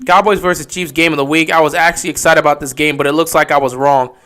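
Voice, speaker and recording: A man giving casual live play-by-play commentary on a stream, getting excited and loud on big plays. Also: fairly high pitch, fast. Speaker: livestream game commentator.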